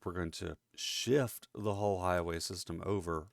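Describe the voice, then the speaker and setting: A man's voice: low voice, fairly even intonation, normal speed, gravelly, no echo. A congested, sniffly man hosting a casual gameplay commentary, chatty and joking as he rambles along. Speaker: let's-play host.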